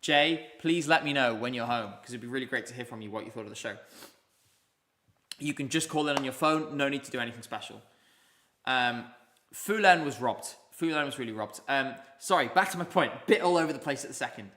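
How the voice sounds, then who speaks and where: A man's voice: medium pitch, a little fast, bright, forceful tone. A man hosting a live stream, chatting casually and rambling with many ums as he reads out viewer comments. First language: English. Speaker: livestream host. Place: indoors, streaming from Basel.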